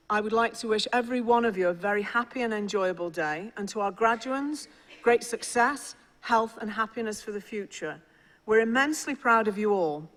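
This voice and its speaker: A middle-aged speaker with a Liverpool accent, a senior university official giving a measured, encouraging formal graduation address. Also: mid-range voice, fairly bright tone. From England (Liverpool). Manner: measured, encouraging, formal delivery with small restarts. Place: large ceremonial hall. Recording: long hall reverb at ceremony.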